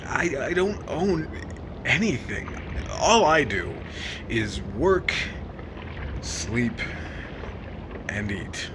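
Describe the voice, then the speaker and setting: Actor as slow-talking, weary radio drama husband. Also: male voice, medium pitch, sing-song, background noise. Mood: bitter, weary. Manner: halting, hesitant delivery in short phrases. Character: weary, hesitant married man out at night. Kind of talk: 1950s radio drama acting.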